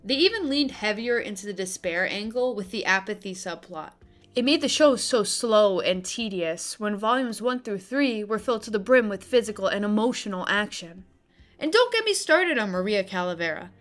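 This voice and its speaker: A young woman delivering opinionated, scripted video-essay commentary with casual asides, in an expressive, emphatic manner. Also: medium pitch, moderate pace. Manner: expressive, emphatic, gushing and griping. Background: background music.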